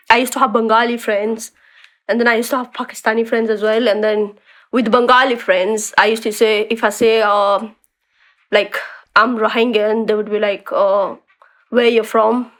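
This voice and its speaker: A young woman chats easily as an interview guest. Her voice sits a little high. She fills her sentences with "like" and often restarts them as she tells her story. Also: little pitch movement, normal speed, a bit bright, low-quality recording. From born in a refugee camp in Bangladesh, raised in the UK. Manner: chatty, many likes and restarts. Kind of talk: casual conversational interview.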